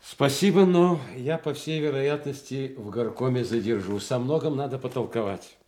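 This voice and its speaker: Loyal male friend of a general voiced by a man, mid-pitched, courteous, concerned; Soviet radio drama delivery. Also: normal speed, darkish tone, lightly acted. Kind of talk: Soviet radio play acting.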